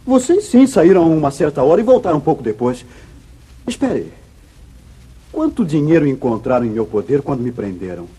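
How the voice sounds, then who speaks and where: An actor playing an emotional, falsely accused wayward son in a 1950s Brazilian radio serial, with a Brazilian accent. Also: male voice, fairly high pitch, very animated, a little fast, mellow, breathy edge, heavily acted. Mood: anguished, confused. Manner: emotional, dramatic, earnest. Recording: muffled vintage radio drama recording.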